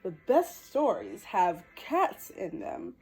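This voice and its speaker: Storybook tabby cat, done by a woman: female, knowing, persuasive, lowered voice, unhurried. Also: huge pitch swings, darkish tone, slightly rough, faint music behind, heavily acted, poor audio. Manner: low, measured, knowing.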